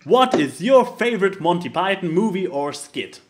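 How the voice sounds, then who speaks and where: A man's voice: sits high, very animated, normal speed. A man chatting casually as a podcast co-host, emphatic and given to repeating words. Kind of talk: casual chat.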